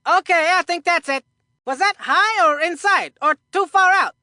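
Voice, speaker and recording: A woman's voice: very high-pitched, moderate pace, pushed and bright, dry recording, studio quality. A woman voicing a folksy, confident boy baseball player in a cartoon video game, chatty and full of old-fashioned exclamations. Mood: instructive, folksy. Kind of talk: cartoon video game voicelines, sports banter.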